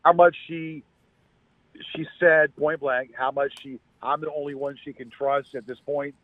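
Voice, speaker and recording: A man under the weather, talking casually on the phone in a halting, repetitive, rambling way. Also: fairly high pitch, flat delivery. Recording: thin, phone-like call audio.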